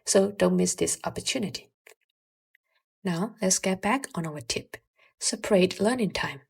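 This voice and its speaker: Young woman with very creaky voice. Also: medium pitch, expressive, a little slow, darkish tone. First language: Burmese. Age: early 20s. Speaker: language-learning vlogger. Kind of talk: scripted advice narration to viewers.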